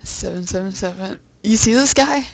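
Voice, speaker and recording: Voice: medium pitch, normal speed, gravelly, dry recording. A young adult with a crude, sweary way of talking, chatting casually and making prank phone calls. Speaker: prank caller. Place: indoors at a computer.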